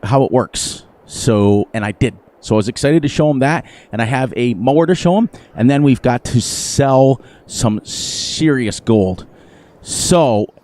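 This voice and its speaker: A man streaming a farming game live, chatting casually with viewers, his voice a little hoarse. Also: medium pitch, darkish tone. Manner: rambling, playful, sing-song repetitions. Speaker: gaming livestreamer. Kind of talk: casual live gameplay commentary.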